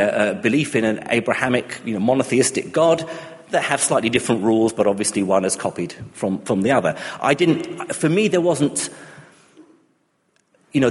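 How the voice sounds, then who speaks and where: A man's voice: mid-range voice, in a large hall. A middle-aged man born and raised in Iraq, giving a thoughtful personal talk with frequent restarts and fillers. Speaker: speaker on humanism and atheism.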